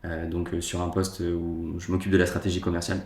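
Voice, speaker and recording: A man's voice: low voice, completely flat delivery, fairly quick, a little mellow. A man in his twenties, a guest in a casual chat, speaking hesitantly with many fillers. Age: 20s. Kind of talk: casual round-table chat. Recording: echoey room.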